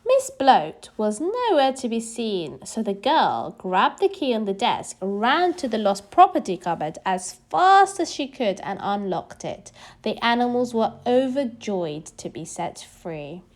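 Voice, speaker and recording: A woman narrates a picture book for young children with an expressive delivery. Her voice sits a little high, swings animatedly in pitch and takes its time over the words. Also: measured pace. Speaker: children's story reader. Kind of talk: expressive scripted storybook read-aloud.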